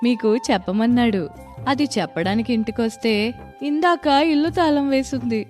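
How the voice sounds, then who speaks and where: A woman's voice: fairly high pitch, normal speed, darkish tone, soft background music, lightly acted. A woman voicing a cheerful, chatty village neighbour in a story, friendly and inquisitive. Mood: excited, friendly. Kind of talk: storybook voice acting.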